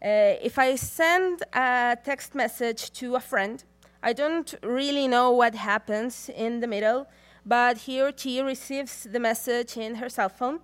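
Young woman giving a measured talk. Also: fairly high pitch. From Chile. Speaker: conference speaker. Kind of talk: prepared conference talk.

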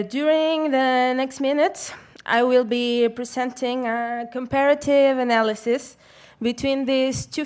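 Young woman giving a measured talk. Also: fairly high pitch. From Chile. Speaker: conference speaker. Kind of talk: prepared conference talk.

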